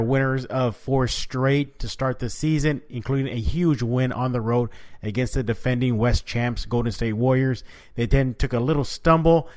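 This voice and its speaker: A man delivering a prepared basketball report as a podcast host, running through records and statistics. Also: medium pitch. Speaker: sports podcast host giving a team report.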